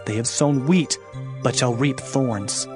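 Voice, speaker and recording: A man playing a solemn, grieving prophet declaring doom in a dramatised scripture reading, with earnest, deliberate, declamatory delivery. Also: mid-range voice, lightly acted. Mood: mournful warning. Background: music.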